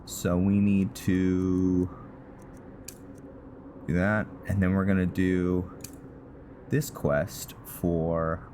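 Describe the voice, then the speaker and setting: Male gaming host, deep and unhurried, casual and halting, thinking aloud over noticeable game ambience. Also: level tone, dark tone, slight background noise. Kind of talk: casual game roleplay commentary.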